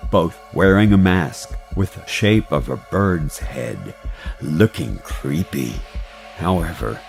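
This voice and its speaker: A man's voice: low voice, gravelly. A man reading a scripted first-person scary story as its narrator. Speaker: narrator of an animated horror story.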